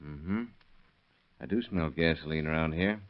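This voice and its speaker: An actor playing a patient, probing police investigator in a 1930s radio crime drama, on a dull old recording. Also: male voice, very deep voice, unhurried. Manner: inquisitive, coaxing, methodical.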